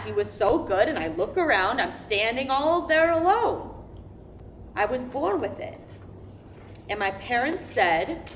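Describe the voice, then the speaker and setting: A devout woman played by an actress tells her memories on stage in a slow, halting, emphatic way. She repeats words as she goes, and there is steady noise over the recording of the live reading. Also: on the high side. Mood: proud, wistful. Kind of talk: verbatim theatre monologue, staged reading.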